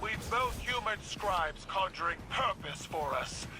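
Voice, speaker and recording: An actress playing a proud, stern alien warrior empress in a video game, with regal, clipped, declarative delivery. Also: female voice, medium pitch, animated, a little slow, background noise, natural voice. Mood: contemptuous.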